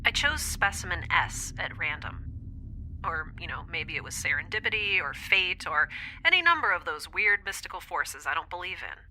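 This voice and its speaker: A woman's voice: fairly low pitch, sharp tone, exaggerated voice, poor audio. A woman playing an anxious young scientist in an audio drama, thinking aloud in a hesitant, confessional monologue. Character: young woman scientist, anxious, introspective, rambling recorded diary.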